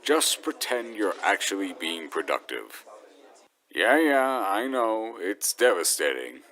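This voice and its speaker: A man playing a tired, sarcastic schoolteacher with a wry, offhand, deadpan delivery. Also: fairly low pitch, takes it slowly, full character voice. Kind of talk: casual character acting.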